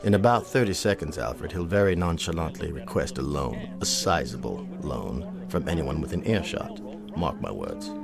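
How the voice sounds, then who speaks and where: An older retired colonel in a radio drama. The voice is deep and commanding, with expressive rises and falls inside a cold, humorless, deliberate manner. Music sits faintly behind him. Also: male voice, vocal fry, full character voice. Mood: scornful. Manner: stern, dry, humorless, deliberate. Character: gray-haired ex-army colonel, coldly unemotional, disdainful.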